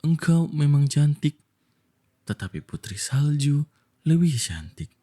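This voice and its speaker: A man voicing a calm, truthful magic mirror from a storybook, soft, breathy and measured. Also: a little high, voice pushed up. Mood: solemn.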